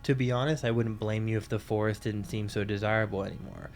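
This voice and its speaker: A man's voice: on the low side, steady tone, fast. A young man hosting a video essay, narrating from a script in a casual, wry way with offhand jokes and the odd swear. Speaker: YouTube commentary host.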